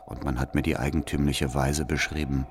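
Very deep-voiced, calculating church spy. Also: male voice, little pitch movement, slight background noise. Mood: deferential, watchful. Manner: formal, deliberate, deferential. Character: devout, calculating male church spy writing reports. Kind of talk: radio drama letter monologue. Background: faint music.

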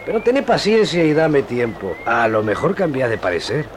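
An actor playing a cocky, cruel gaucho villain with a rural Argentine accent in a radio drama. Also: male voice, medium pitch, sing-song, moderate pace, slight background noise, close to an everyday voice. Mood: sly, mocking.

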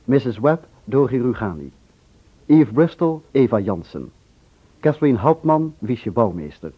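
A man's voice: mid-range voice, big pitch swings, a little slow, husky. A man announcing the cast and credits of a radio play in a formal, scripted manner. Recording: slightly muffled old radio recording.

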